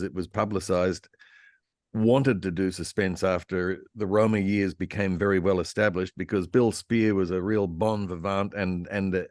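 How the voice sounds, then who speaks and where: Deep voiced guy, radio historian chatting on a panel, stop-start but keen, very dry sound. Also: dry recording. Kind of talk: animated, anecdotal panel discussion. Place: online convention video call.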